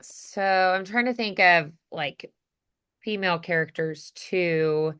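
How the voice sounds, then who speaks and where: A woman's voice: on the low side, takes it slowly, a bit bright, clean tone. A woman teaching voice acting in a casual one-on-one chat, thinking aloud hesitantly and saying "like" often.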